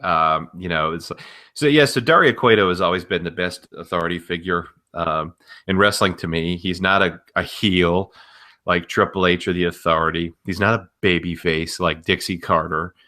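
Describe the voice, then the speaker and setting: A man's voice: deep voice, normal speed, sharp tone. A man co-hosting a podcast, explaining things in a casual, knowledgeable way. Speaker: podcast co-host.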